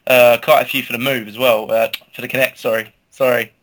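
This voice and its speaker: Fast-talking, bright-voiced man. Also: mid-range voice, level tone. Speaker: podcast co-host. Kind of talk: casual gaming chat.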